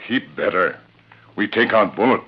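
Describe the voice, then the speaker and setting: An actor playing a loyal, stoic western scout who talks in terse broken pidgin, husky, in a muffled 1940s radio recording. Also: male voice, fairly low pitch, expressive, normal speed.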